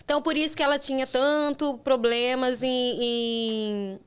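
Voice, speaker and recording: A woman's voice: high-pitched, steady tone, unhurried, smooth. A middle-aged Brazilian woman with a Brazilian Portuguese accent, hosting a podcast and telling a personal story earnestly, with frequent restarts. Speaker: podcast host. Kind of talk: casual interview chat.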